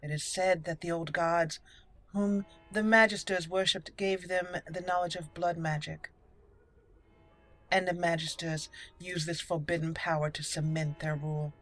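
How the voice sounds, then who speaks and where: A woman hosting a roleplay game playthrough, speaking in a deliberate storyteller manner. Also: on the low side, steady tone, a bit bright, low-quality recording. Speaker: gaming channel host. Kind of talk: roleplay game commentary and dramatic lore reading. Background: faint game music.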